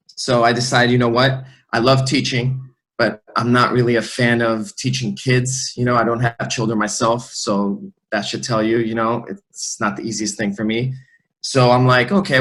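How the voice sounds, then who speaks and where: Guest, man, 30s, easygoing storyteller, mid pitch. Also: a bit bright, low-quality recording. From Lebanon, raised in the US. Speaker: guest, fitness trainer. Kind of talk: casual interview. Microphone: remote recording.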